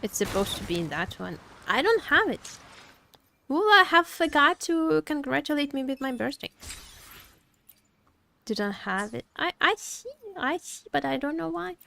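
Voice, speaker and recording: A woman streamer giving casual live commentary on a game, expressive and playfully complaining, often repeating her phrases. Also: high-pitched, normal speed, gravelly. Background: game music.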